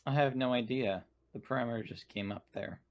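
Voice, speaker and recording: A man voicing a formal, dutiful and protective android in measured, precise phrases for a tabletop game. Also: mid-range voice, lightly acted. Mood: puzzled, analytical.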